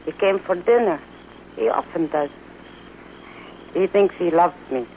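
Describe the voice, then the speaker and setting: Coy, teasing western temptress, muffled. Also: female voice, on the low side. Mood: guarded. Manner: coy, playful, deliberate. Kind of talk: old-time radio western acting. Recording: muffled old radio recording, faint noise.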